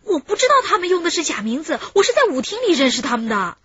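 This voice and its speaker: A woman's voice: high-pitched, sing-song, fast, a bit bright, dull audio. An actress playing a frightened, evasive young female accomplice being questioned by police in a radio drama. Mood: nervous, evasive. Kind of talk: Chinese radio drama acting.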